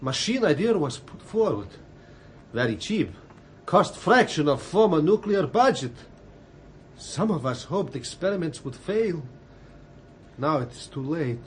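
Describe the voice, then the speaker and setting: An actor playing a grave, deadpan Soviet ambassador with a Russian accent and clipped phrasing in a radio drama. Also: male voice, a little high, measured pace, pauses often, a little hiss or hum. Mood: deadpan, rueful. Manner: clipped, drops articles, deadpan.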